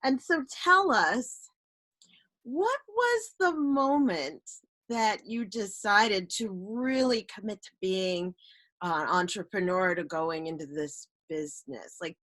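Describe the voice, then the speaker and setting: Host: woman, slow and affirming, lowish voice with lively intonation. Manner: affirming, drawn-out questions with soft "mm" responses. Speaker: podcast host. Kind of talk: interviewing a guest.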